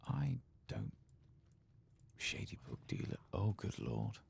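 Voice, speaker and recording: Soft-spoken, deep creaky man. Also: wildly swooping pitch, takes it slowly, a little hiss or hum. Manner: musing, muttering understated reactions. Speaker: ASMR streamer playing a video game. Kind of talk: soft-spoken and whispered ASMR gameplay commentary.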